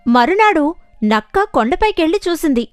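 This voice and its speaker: High, animated woman storyteller over music. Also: moderate pace, dry recording. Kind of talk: scripted children's story narration.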